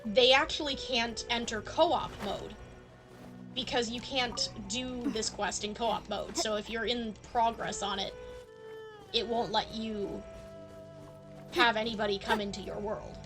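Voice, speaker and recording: A woman's voice: on the high side, fairly even intonation, measured pace. A woman giving casual live commentary as she streams a video game. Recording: game music behind the voice. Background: game music.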